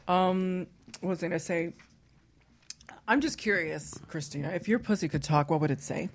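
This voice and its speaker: A woman's voice: fairly low pitch, normal speed, a little hiss or hum. A woman hosting a raunchy radio talk show, asking cheeky, probing questions. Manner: asks probing cheeky questions, laughs often. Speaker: host. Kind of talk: raunchy casual chat.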